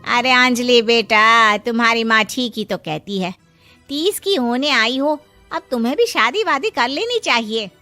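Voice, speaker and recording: Woman voicing meddling neighbour aunt, prying, coaxing, raised pitch. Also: expressive, measured pace, sharp tone, no room sound, soft background music. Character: nosy middle-aged neighbour aunt, meddling.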